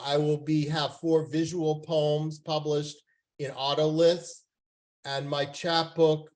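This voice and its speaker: Slow, high-voiced man reading his poem. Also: darkish tone. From Canada. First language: French. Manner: slow, careful and polite. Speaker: open mic poet. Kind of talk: reading poetry aloud. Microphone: video call.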